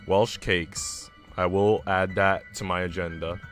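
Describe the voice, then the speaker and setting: A man livestreaming a visual novel, moving between casual, chatty musing and expressive story narration. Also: low-pitched, a little slow. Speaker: streamer reading a visual novel aloud. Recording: music playing behind the voice. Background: background music.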